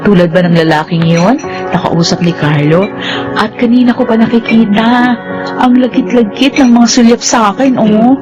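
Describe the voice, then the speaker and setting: A woman's voice: a little high, moderate pace, highs cut off, music in the background, close to an everyday voice. An actress playing a kind, friendly young saleswoman in a radio drama. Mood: playful. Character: kind, friendly young saleswoman telling her life story.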